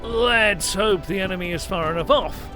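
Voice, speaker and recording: A man voicing a gruff, decisive cavalry captain in a fantasy audiobook, urgent and commanding. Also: high-pitched, moderate pace, music in the background, pitched above the performer's own voice, lightly acted. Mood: brisk, urgent. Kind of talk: dramatised fantasy audiobook character.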